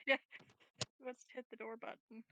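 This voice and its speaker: A woman's voice: on the high side, flat delivery, moderate pace. A woman chatting casually as a player in a tabletop game, recorded on a noisy mic with clicks.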